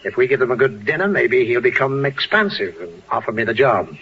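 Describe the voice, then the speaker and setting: A man's voice: medium pitch, lively intonation, brisk, natural voice. A man playing an irritable, sarcastic husband and father in a 1940s radio comedy, with emphatic, sardonic delivery. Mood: fussy. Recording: dull, hissy 1940s radio broadcast.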